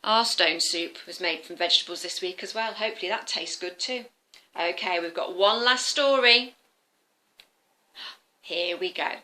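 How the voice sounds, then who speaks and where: A woman telling a story to young children in an animated, interactive way, often turning to the listeners with questions and simple explanations. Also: on the low side, moderate pace. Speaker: children's storyteller, former teacher.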